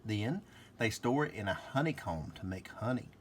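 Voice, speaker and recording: Man reading as Clover, a lively flower girl. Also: fairly low pitch, moderate pace, a little hiss or hum, lightly acted. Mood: cheerful, explaining. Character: Clover, cheerful thoughtful flower-friend girl.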